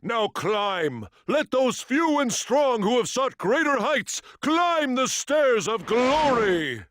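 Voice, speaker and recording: An actor playing a grandiose tournament announcer who proclaims a crowning ceremony in a booming, theatrical manner. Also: male voice, sing-song, slow, a bit bright, no room sound, studio quality, exaggerated voice. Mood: proclaiming.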